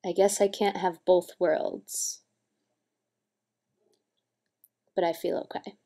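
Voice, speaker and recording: A woman playing an earnest, wistful young deaf signer in a dramatic monologue, speaking in halting, deliberate phrases. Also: on the low side, little pitch movement, warm tone. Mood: tentatively hopeful.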